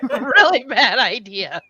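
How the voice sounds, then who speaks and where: A woman's voice: a little high, big pitch swings, measured pace, pushed and bright, husky. A woman hosting a livestream in casual chat, playful and often talking to herself, on slightly lo-fi audio. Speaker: livestream host.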